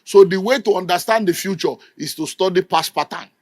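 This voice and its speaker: A man's voice: high-pitched, brisk, no room sound, clean studio sound. A middle-aged Nigerian man preaching a sermon with an emphatic, scolding delivery. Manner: emphatic, scolding, mimics people in quotes. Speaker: pastor.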